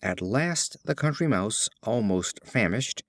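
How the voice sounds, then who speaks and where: A man narrating fables as an audiobook reader, with a measured, expressive storytelling delivery. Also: on the low side, no echo, studio quality.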